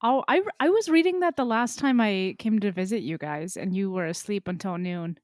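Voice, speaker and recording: A woman's voice: medium pitch, normal speed, no room sound. Female podcast panelist, middle register, casual conversational delivery, dry close recording. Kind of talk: casual chat.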